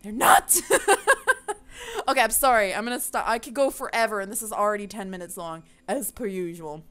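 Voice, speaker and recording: A woman's voice: mid-range voice, a little fast, fairly bright tone, smooth. A woman giving a casual, opinionated rant to viewers, passionate and emphatic, with loose swearing, as a gaming video creator.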